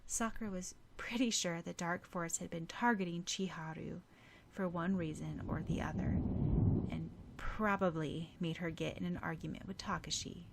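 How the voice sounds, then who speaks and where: A woman's voice: lowish voice, fairly even intonation, normal speed, creaky, background noise. A woman reading scripted fiction as an audiobook narrator.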